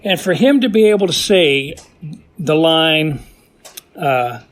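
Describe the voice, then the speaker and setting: A man giving casual, enthusiastic spoken commentary on classic TV in a halting, repetitive way, as listener feedback for a podcast. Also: a little high, expressive, a bit bright.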